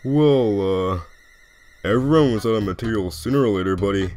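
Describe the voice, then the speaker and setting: A man voicing a lazy, wry skeleton joker with quiet menace in a comic dub, talking in a laconic, folksy way. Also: on the low side, moderate pace, lightly acted. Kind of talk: animated comic dub acting.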